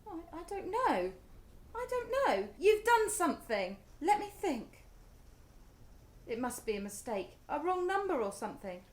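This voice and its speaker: A woman's voice: sits high, very animated, measured pace, echoey room. A woman with a British accent playing an anxious, easily stung middle-aged mother in a naturalistic radio play. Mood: flustered. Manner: fretful, emotive.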